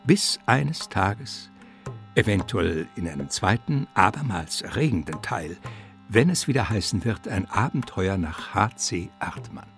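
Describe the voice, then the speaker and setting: Low, creaky male radio narrator. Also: sing-song, music in the background. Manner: measured, theatrical storytelling. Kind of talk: scripted dramatic radio play narration.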